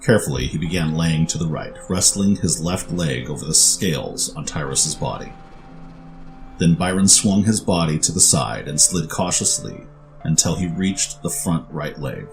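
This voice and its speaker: A man's voice: rumbling low, expressive, moderate pace, gravelly, music in the background. A man narrating a scripted fantasy story as its storyteller.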